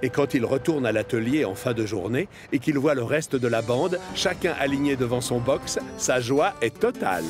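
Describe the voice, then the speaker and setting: A man narrating a children's animated story from a script. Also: mid-range voice, moderate pace, hardly any pauses. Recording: music behind the voice.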